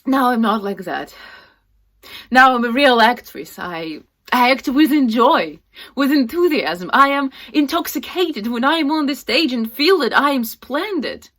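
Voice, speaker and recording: A woman playing a fragile, distraught young actress in a dramatic, halting stage monologue. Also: on the low side, steady tone, exaggerated voice. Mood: fervent exaltation. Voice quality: breathy, often dropping to whispers. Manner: halting, intense, swinging from hushed to loud.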